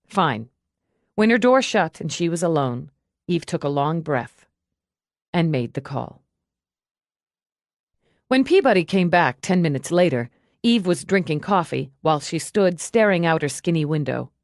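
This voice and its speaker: A woman narrates a novel in a fairly low voice. The recording is very dry and close, with no room around it, and the delivery is that of scripted audiobook storytelling. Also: expressive, moderate pace, long pauses, a little mellow, no room sound.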